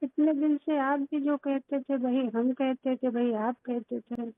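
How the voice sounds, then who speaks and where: A woman's voice: high voice, level tone, unhurried, no echo. A woman arguing privately on a recorded phone call, speaking in a reproachful, insistent manner. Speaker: private caller. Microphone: phone line. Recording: tinny, band-limited recorded phone call.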